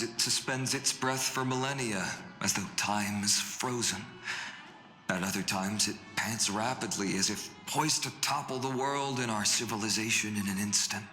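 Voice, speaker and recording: Noble young hero, echoing, measured. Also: male voice, lowish voice, bright, forceful tone. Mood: solemn. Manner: measured, formal, theatrical oratory. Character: earnest, noble young male warrior hero. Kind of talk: scripted video game dialogue. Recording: echoey hall reverb, music underneath.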